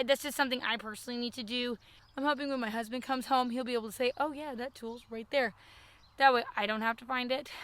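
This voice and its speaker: A homesteading woman talks through her garden chores in a relaxed, practical way. Her fairly high voice is hoarse and scratchy, picked up outdoors on a small earbud mic. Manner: chatty, practical, self-deprecating. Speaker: homesteading vlogger. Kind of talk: casual how-to chat. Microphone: wireless earbud mic.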